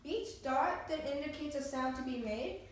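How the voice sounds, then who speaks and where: A woman's voice: sits high, animated, moderate pace. Woman as a polite robot in a very echoey room. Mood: curious, puzzled. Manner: formal, literal, deferential phrasing. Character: polite, literal, eager-to-learn household robot. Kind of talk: amateur readers theatre performance. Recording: distant mic, very echoey room, faint noise.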